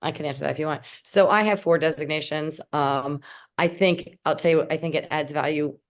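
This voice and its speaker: A woman's voice: sits low, fairly quick. A woman co-hosting a webinar, answering as an experienced real estate broker. She is chatty and uses many fillers.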